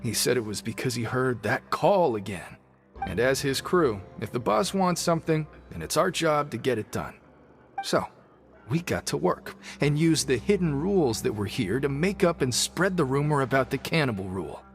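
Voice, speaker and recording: Game NPC: devoted crewman, male actor, animated and firm. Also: medium pitch, normal speed, soft background music, natural voice. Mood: loyal. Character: devoted male prisoner crew member, loyal to his boss.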